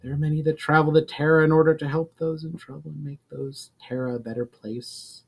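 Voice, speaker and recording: A man voicing a wise, mysterious woman guide in a fantasy story, explaining in a formal, measured manner. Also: a little high, little pitch movement, vocal fry, breathy edge. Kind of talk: fantasy audiobook dialogue.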